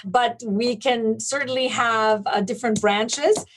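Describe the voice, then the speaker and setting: Woman guest on an interview, slightly high voice, explains stuff in detail, chatty and articulate. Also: measured pace, rough recording. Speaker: interview guest, event planning business owner. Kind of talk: conversational interview storytelling.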